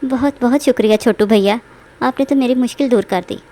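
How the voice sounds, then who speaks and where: A woman playing a poor, humble young village wife, polite and earnest, in an animated moral story. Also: on the high side, fairly quick, lightly acted. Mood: grateful.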